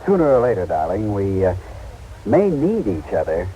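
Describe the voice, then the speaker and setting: Calm otherworldly man, hesitant, musing, mellow, lively intonation, old radio drama, slight hiss. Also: lowish voice, moderate pace, low-quality recording. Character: calm, hesitant, kindly otherworldly scientist-husband.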